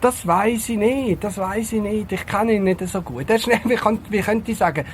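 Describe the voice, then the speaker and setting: A man's voice: high voice, moderate pace, slight background noise. A man playing a cocky, talkative police detective in an audio drama, with a Swiss German accent and a rambling, self-important manner. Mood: polite, chatty. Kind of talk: amateur audio drama acting.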